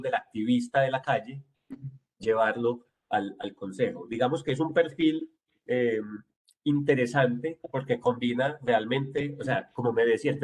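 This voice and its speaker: Husky Medellín man in his forties. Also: mid-range voice, a little mellow. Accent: Medellín Colombian Spanish. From Colombia. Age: 40s. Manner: fluent, self-assured, explanatory. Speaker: city councilman. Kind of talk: interview answers.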